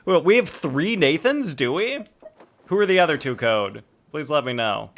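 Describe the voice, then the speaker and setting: A man's voice: on the high side, sing-song, moderate pace. A man livestreaming a video game, chatting casually and rambling as he thinks aloud. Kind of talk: casual live gaming chat and commentary.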